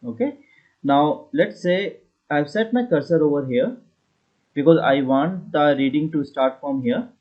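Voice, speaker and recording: Higher-voiced man giving a software tutorial. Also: expressive, unhurried. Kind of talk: casual step-by-step screen tutorial narration. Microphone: voice-over for screen recording. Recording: dull lo-fi voice recording.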